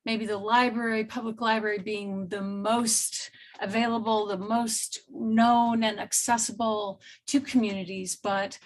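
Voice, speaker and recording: A woman's voice: fairly high pitch, little pitch movement, low-quality recording. A woman who organizes community business support, giving a rambling introduction full of restarts on a panel video call. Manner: rambling, restarts a lot, speaks fast.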